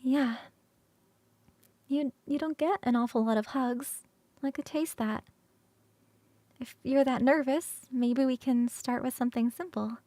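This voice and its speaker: A woman performing an ASMR roleplay as a playful, affectionate succubus roommate who talks straight to the listener in a coaxing, halting, expressive way. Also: high voice, dry recording. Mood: soothing, tender. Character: affectionate, playful succubus roommate, young-sounding, eager to cuddle. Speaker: streamer performing scripted roleplay.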